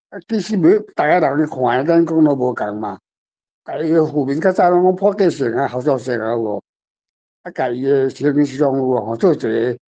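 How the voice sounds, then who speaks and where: A man's voice: fairly high pitch, warm tone. A man with a Taiwanese accent chatting casually as a guest, often checking with the listener through little tag questions. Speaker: guest commentator. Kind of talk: casual chat. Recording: dull lo-fi sound under guitar music. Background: plucked guitar music.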